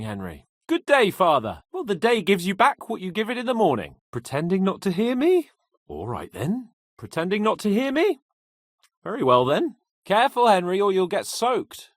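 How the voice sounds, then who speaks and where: A man's voice: high voice, no echo, clean studio sound, exaggerated voice. An actor playing a cocky young medieval nobleman in a video game, haughty and playful with a theatrical manner. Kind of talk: video game character dialogue.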